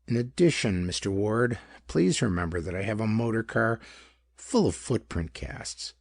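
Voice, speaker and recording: A man's voice: lowish voice, expressive, normal speed, gravelly, darkish tone, no room sound. A man voicing a chubby, superior male detective in a pulp audiobook, with formal, pedantic, emphatic phrasing. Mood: precise, dismissive.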